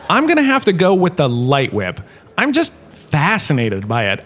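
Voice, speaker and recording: A man's voice: on the high side, natural voice. An actor playing a chatty, self-important male professor in a comic audio drama lecture, with a theatrical, conversational manner. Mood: chatty, pleased. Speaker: lecturer, host of the show.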